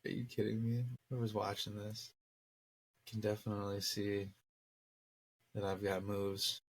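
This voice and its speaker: A young man telling stories in a casual chat, animated and slangy with plenty of swearing and acted-out quotes. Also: lowish voice, measured pace, husky, poor audio. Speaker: co-host.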